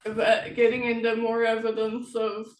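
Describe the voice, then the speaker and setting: A woman chatting casually as a host and author, speaking in halting, broken phrases with pauses. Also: fairly high pitch, monotone. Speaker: host, author of historical novels. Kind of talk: casual chat about writing. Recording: roomy echo, distant from the mic.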